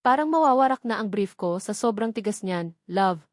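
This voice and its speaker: A woman's voice: medium pitch, lively intonation, normal speed, dry recording, lightly acted. An amorous, possessive Filipino fiancé, read by a woman narrator in a romance audiobook. He is urgent and tender, mixing Tagalog with English endearments, and the sound is close and dry. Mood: pleading desire.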